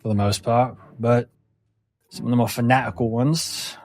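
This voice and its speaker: A man's voice: mid-range voice, fairly even intonation, moderate pace, darkish tone, faint music behind, natural voice. A man voicing a wry young deer-man with a blunt, casual way of talking. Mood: wry, guarded.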